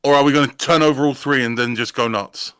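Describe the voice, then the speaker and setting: A man's voice: medium pitch, fairly even intonation, fast, sharp tone, clear, resonant tone. A man chatting casually as a panel guest, with a jokey manner. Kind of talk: casual chat.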